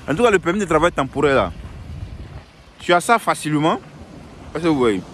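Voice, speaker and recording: A man's voice: a little high, big pitch swings, a little fast, fairly bright tone, slight background noise. A young man giving earnest, repetitive advice in a casual monologue, often restarting his sentences.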